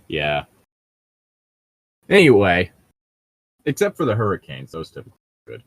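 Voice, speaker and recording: Male co-host, casual and sardonic, very animated pitch swings, exasperated storyteller. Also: moderate pace, dry recording. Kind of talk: casual chat.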